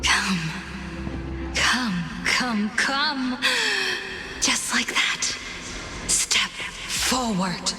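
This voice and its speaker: Primordial female game entity: menacing, hypnotic whisper, drawn-out delivery, drenched in reverb under music. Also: a little high. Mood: urgent beckoning. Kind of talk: video game voice acting. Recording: echoing cavernous reverb, music over voice.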